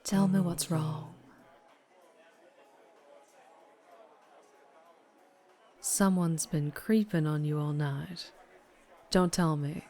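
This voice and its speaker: A woman's voice: low voice, fairly even intonation, slow pace, dark tone. A woman playing a flirtatious, doting but fierce vampire biker in an ASMR roleplay, with a Southern US accent and a teasing manner. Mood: concerned, protective. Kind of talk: ASMR roleplay, intimate character monologue.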